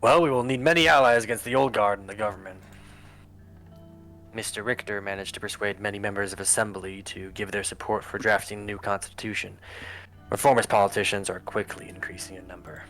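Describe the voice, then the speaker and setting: A man voicing a formal government adviser who briefs the president in a measured, expository way in a political game. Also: fairly low pitch, steady tone, a bit bright. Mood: formal briefing. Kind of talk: scripted political game voice-over. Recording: music under the voice. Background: background music.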